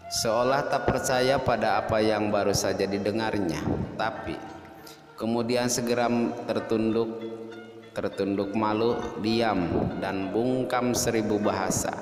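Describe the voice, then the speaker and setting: A man narrating a folktale dramatically, in a literary, solemn, measured manner. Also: on the low side. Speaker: storyteller. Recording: echoey long reverb, music behind. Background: music.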